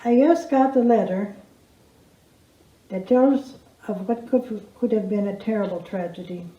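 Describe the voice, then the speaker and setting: Female storyteller, dark mellow voice, emphatic folksy verse recitation, roomy stage sound. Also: medium pitch, unhurried, slight background noise. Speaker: storyteller reciting a comic poem. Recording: roomy echo, dull sound.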